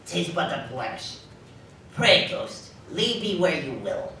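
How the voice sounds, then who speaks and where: A woman's voice: low voice, sing-song, slow pace, pushed and bright, exaggerated voice. A woman playing a fearful, humbled old man in a live radio drama, theatrical and pleading, with archaic phrasing. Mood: moved, eager. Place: live community theatre stage. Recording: distant mic, very echoey live hall, slight noise.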